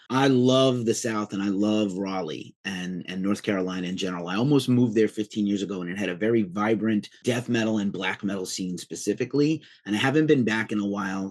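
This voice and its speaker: Chatty, low-voiced male interviewer. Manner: chatty and enthusiastic, with tangents and laughs. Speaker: podcast host, interviewer. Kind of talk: casual interview chat.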